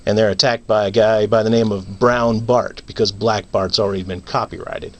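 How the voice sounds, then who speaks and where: A man's voice: lowish voice, steady tone, moderate pace. A man talking as a guest playwright in a casual radio interview.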